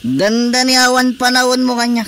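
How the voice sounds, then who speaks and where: An actor with an Ilocano accent playing an angry, jealous husband, confrontational, in a radio drama. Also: male voice, very high voice, fairly even intonation, moderate pace, a little hiss or hum. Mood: indignant. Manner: forceful, confrontational.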